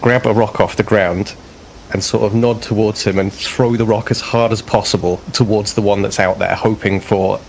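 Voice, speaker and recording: A man's voice: fairly low pitch, fairly even intonation, normal speed, creaky, slight background noise, low-quality recording. A man taking part as a player in a tabletop game, thinking aloud and asking questions. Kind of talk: casual tabletop play.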